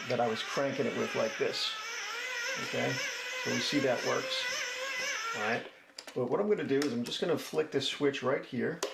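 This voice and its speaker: A man with a gravelly, creaky edge hosts a casual product review. He is folksy and chatty, explaining step by step. The room is echoey, with a faint layer of noise. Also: mid-range voice. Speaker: host of a DIY product review channel. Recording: roomy echo, faint background noise.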